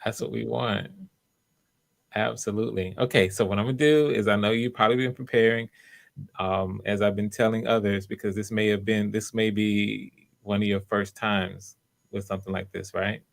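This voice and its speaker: A man's voice: lowish voice, fairly even intonation, normal speed, vocal fry. A man hosting live auditions in a casual, encouraging and reassuring way. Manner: supportive, reassuring. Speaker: host running live auditions.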